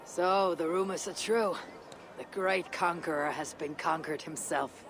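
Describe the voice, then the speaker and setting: A proud, weary Viking warrior woman, speaks with husky restraint. Her delivery is measured and dry, like cinematic game drama, with prominent music behind her. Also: fairly low pitch. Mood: wry. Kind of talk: dramatic video game acting. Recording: music under the voice.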